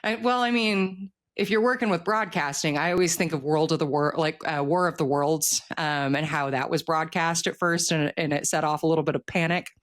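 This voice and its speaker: A woman's voice: low voice, fairly even intonation, fairly quick, hardly any pauses. Woman chatting casually, wry and joking. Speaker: author, interview guest. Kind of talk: casual interview chat.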